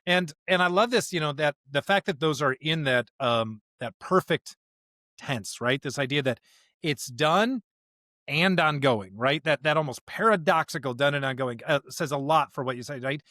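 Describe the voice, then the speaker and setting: A man's voice: fairly high pitch, moderate pace, sharp tone, no echo, clean studio sound. A man co-hosting a religious podcast in an earnest, emphatic conversational way, often checking for agreement. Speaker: podcast co-host.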